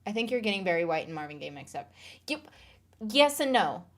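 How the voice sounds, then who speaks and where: A woman's voice: lowish voice, very animated, a little fast. A woman streamer chatting casually on a live stream, reading viewer comments aloud and laughing along.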